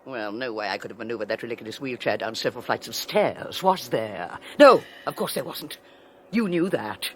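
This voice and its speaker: An actress playing a domineering, cruel wife who mocks with theatrical scorn and cackling laughter in a radio drama. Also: female voice, rumbling low, expressive, moderate pace, fairly bright tone, a little hiss or hum. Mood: scornful. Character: domineering wealthy wife, cruel, mocking, triumphant. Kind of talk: 1980s radio drama acting.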